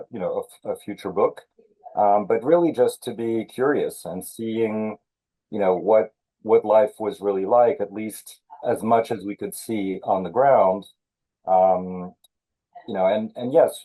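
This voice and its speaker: A man born in Senegal, a thriller author being interviewed, talking in a measured way with many fillers and restarts. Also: on the low side, dark tone, low-quality recording. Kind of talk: conversational interview.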